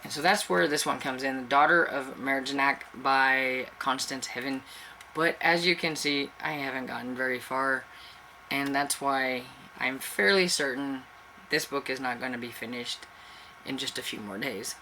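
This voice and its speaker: A woman with a cold, stuffy-nosed and a little raspy, chatting casually to camera as a book channel host and rambling with fillers and restarts. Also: extremely low pitch, normal speed, pushed and bright, slight background noise, rough recording.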